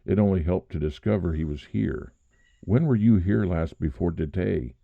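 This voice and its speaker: A man playing a calm police detective in an old-time radio mystery, plain-spoken and reassuring as he asks questions. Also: deep voice, normal speed, vocal fry, no echo, phone-quality sound.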